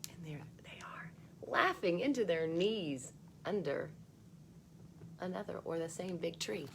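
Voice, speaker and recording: A woman reading a picture book aloud for children, expressive, with chatty asides spoken straight to young listeners. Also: on the low side, unhurried, gravelly, slight background noise.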